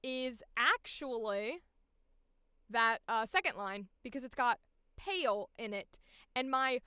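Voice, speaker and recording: A woman voice actor chatting casually on a livestream, thinking aloud in an informal, animated way, close to the microphone. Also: a little high, takes it slowly, smooth, no room sound. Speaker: voice actor recording auditions.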